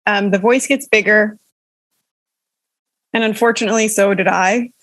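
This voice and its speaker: A woman's voice: mid-range voice, fairly even intonation, moderate pace, fairly bright tone, no room sound. A woman opera singer talking in a casual interview, wry and self-deprecating. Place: small room at home.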